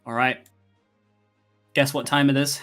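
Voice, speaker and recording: A man's voice: mid-range voice, flat delivery, measured pace, fairly bright tone. A man narrating combat as game master of a tabletop roleplaying game, speaking casually. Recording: background music under the voice. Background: music.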